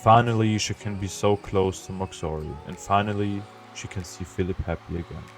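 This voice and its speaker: A man reading the narration of an audio drama in a measured, gentle way. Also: low voice, faint music behind.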